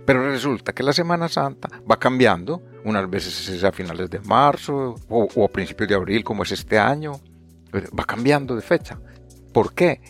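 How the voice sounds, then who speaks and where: A Colombian man with a Paisa accent, co-hosting a casual astronomy podcast, easygoing and halting with many restarts. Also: on the low side, expressive, a bit bright, faint music behind. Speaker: astronomy communicator, co-host.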